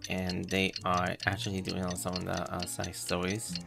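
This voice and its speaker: Very low, creaky-voiced woman livestreamer. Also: completely flat delivery. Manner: halting, hesitant. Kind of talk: casual game livestream commentary. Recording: loud game music behind the voice.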